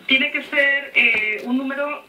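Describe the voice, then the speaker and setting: A woman's voice: high-pitched, takes it slowly, sharp tone. A woman speaking politely and formally as a technical support agent on the phone. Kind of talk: formal customer service phone call. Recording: distant echoey phone line with noise.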